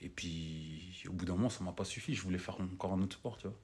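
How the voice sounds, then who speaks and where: A young man from Cameroon, an ice hockey player, chatting as a guest in a relaxed way and often adding "tu vois". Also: sits low, fast talker, slightly rough. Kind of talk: casual interview chat.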